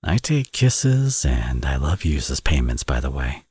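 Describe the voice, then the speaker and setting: Man acting a deep, husky flirtatious villain. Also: very animated, a little mellow, dry recording, clean studio sound, exaggerated voice. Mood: flirtatious, smug. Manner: teasing, sardonic, drawling with drawn-out phrases. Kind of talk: ASMR romance audio roleplay, intimate acting.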